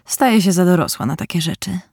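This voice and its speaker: A woman voicing a proper eldest sister who speaks in a composed, measured way. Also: fairly low pitch, expressive, darkish tone, no room sound, studio quality.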